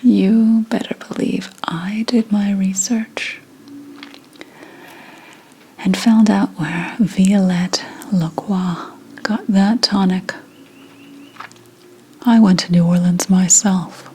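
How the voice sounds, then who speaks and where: A woman playing a secretive, indignant 17th-century witch in a breathy whispered ASMR roleplay, speaking deliberately with dramatic pauses. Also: medium pitch, fairly even intonation, a little mellow, slight background noise, natural voice.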